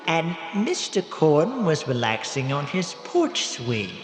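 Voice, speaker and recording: An elderly morgue attendant spinning radio tales. He speaks very slowly and haltingly, with courteous hesitations and wide swings of pitch, in long echoing reverb. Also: huge pitch swings, faint music behind, exaggerated voice. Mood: storytelling. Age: older. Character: odd, obliging old morgue attendant storyteller. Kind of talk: old-time radio horror-comedy narration. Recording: echoey hall with long reverb.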